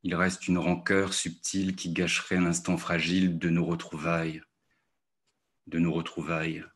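Deep, slow-reading man on a video call. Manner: slow, measured recitation. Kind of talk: poetry reading of song lyrics.

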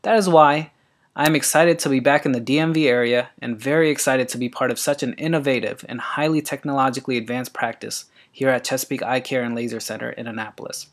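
A man from Maryland, an eye surgeon, reading his introduction and a presentation in an earnest, even way. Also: medium pitch, quick pace. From Maryland, USA. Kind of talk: read-aloud self-introduction and presentation.

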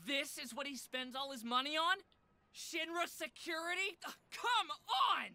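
A man's voice: extremely high pitch, expressive, normal speed, pushed and bright, no echo, heavily acted. An actor playing an exasperated, sarcastic young male adventurer with a whiny manner in a comic game parody. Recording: music under the voice.

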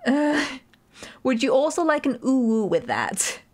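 A young woman from the north of England with a softened northern accent, chatting casually and giggling as a streamer while she plays and reads chat aloud. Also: high voice, big pitch swings, measured pace. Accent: Northern English, softened. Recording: game music behind the voice.

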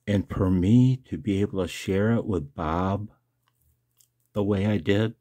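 Older male vlogger, very low and husky, halting confessional delivery, casual and unscripted. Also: mellow. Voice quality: gravelly, husky. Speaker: amateur radio video blogger. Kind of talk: personal screen-share vlog, casual monologue.

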